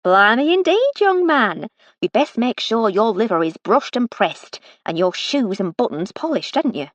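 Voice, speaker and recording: A woman voicing a cheery, motherly older housekeeper-cook who is chatty and warmly bossy. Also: fairly high pitch, big pitch swings, a little slow, no room sound, highs cut off.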